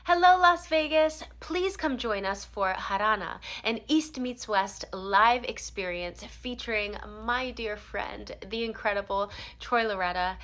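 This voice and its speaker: A woman performer giving an excited, chatty invitation to a concert and poking fun at her own word choice. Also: medium pitch, unhurried, hardly any pauses. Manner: gushing and chatty, correcting herself as she goes. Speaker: performer recording a concert promo.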